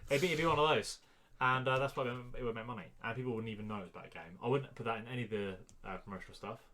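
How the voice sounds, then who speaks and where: Blunt guy on a podcast arguing his opinions casually, kinda dismissive, average pitch. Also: racing, a bit bright. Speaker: podcast co-host.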